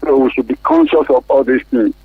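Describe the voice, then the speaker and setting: A man's voice: mid-range voice, a little fast, slightly rough, dry recording. A man with a Nigerian accent calling a radio show by phone, giving his opinion earnestly in slightly formal wording. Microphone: telephone. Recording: muffled, narrow tinny phone line.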